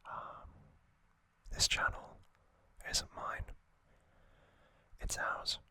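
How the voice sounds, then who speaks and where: A man whispers as the host of an ASMR recording. His voice is fully unvoiced and breathy, with a bright, sibilant edge. He takes his time, speaking very slowly and gently with many pauses. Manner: slow, gentle, pausing delivery.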